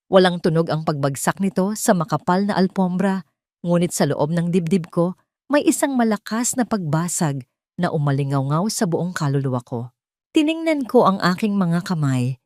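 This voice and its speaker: A woman's voice: fairly low pitch, a little mellow, dry recording, studio quality. A woman narrating a scripted first-person drama story in an emotive, solemn manner.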